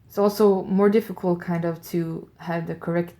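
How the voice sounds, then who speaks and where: Woman, Swedish accent, solo radio-style host. Chatty, musing, warm low tone, slightly gravelly. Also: fairly even intonation, moderate pace. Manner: chatty, musing aloud with curious asides to listeners. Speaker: host of an audio advent show.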